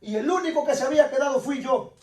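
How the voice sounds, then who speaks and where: A man's voice: extremely high pitch, fast, darkish tone. A man preaching a sermon as dramatic personal testimony, repeating his phrases with insistent emphasis. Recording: echoey room, distant microphone.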